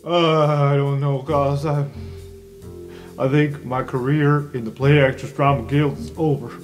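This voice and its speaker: A man's voice: on the high side, flat delivery, unhurried, natural voice. Man acting brash, dejected drama professor, music behind. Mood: maudlin, sincere. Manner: theatrical, blustering, brash. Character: dejected, tipsy, dramatic male theatre professor.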